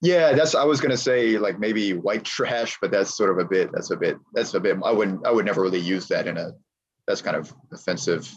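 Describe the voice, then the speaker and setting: An American man with a very deep voice talks as an interview guest. He speaks emphatically and circles back to repeat his points. The sound is muffled and lo-fi. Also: a little fast, slightly rough. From United States. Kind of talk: casual interview chat.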